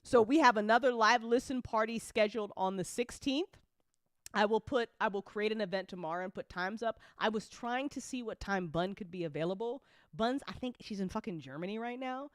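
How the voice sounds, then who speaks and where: A woman hosting a casual livestream chat as an audio drama creator, animated and joking, with informal, sweary talk full of y'all. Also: medium pitch, brisk, no echo, clean studio sound. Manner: informal speech with frequent y'all. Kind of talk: casual livestream chat answering audience questions.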